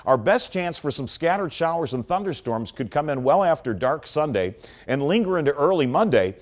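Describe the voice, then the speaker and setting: A man's voice: fairly high pitch, big pitch swings, fairly quick. A middle-aged American man presenting a live weather forecast in an emphatic, explanatory manner. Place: TV studio in front of weather screen.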